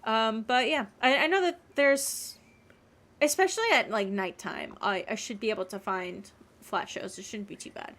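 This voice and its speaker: A woman with a fairly high voice chats casually on a livestream. She rambles along in an animated way, repeating words for emphasis as she talks to her viewers. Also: moderate pace. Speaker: game streamer.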